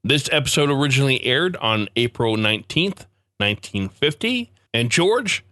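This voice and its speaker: A man's voice: mid-range voice, big pitch swings, normal speed, sharp tone, dry recording, studio quality. A man reading a scripted introduction as the host of a podcast.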